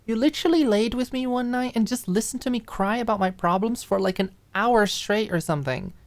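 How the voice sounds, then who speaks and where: Woman acting as young woman, raised pitch, blunt, caring, casual, insistent, audiobook drama. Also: lively intonation, brisk. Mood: soft, reassuring. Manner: casual, emphatic, insistent, uses filler "like". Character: blunt, caring, pouty young woman confronting her friend.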